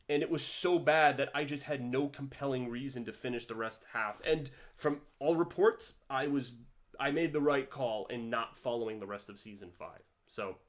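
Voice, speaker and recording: A man giving a casual commentary vlog, rambling with many restarts and swinging his pitch and loudness animatedly. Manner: rambling, with frequent restarts and stumbles. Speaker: vlogger reviewing a TV series.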